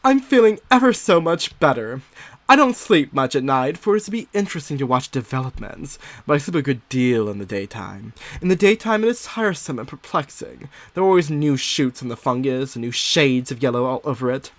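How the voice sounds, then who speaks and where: A man playing an unsettled, secretive nineteenth-century woman diarist growing obsessed, in a theatrical, confiding dramatic monologue with drawn-out emphasis. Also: medium pitch, sing-song, no echo. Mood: excited, confiding. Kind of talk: dramatic first-person monologue, audio theatre.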